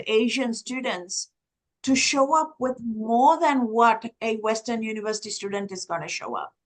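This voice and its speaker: A woman's voice: on the high side, fairly bright tone, low-quality recording. A woman from Sri Lanka whose first language is Tamil, speaking on a conference panel and building her points in long lists.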